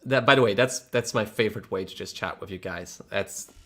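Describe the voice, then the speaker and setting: Low-voiced man with a Polish accent. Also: brisk, fairly bright tone. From Poland. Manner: rambling, restarts words, laughs often; chatty and frank, mild swearing. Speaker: livestream host. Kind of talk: casual livestream chat.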